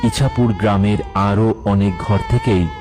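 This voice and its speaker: A man's voice: on the low side, fairly even intonation, unhurried. A man narrating an emotional children's story from a script as the storyteller. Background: faint music.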